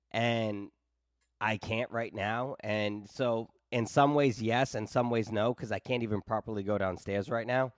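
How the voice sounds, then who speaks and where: A man's voice: medium pitch, no room sound, clean studio sound. A man in his thirties hosting a podcast, talking in a flippant, teasing way and telling stories at length. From New England. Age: 30s. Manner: teasing, flippant, animated storyteller. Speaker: podcast host. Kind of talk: casual chat.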